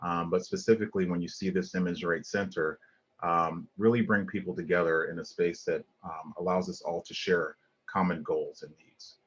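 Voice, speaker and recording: Deep-voiced man giving an earnest lecture. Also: fairly even intonation, moderate pace, breathy edge, lo-fi sound. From Liberia. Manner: earnest, many ums and restarts. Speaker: guest lecturer, landscape architect. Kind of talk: online lecture with slides.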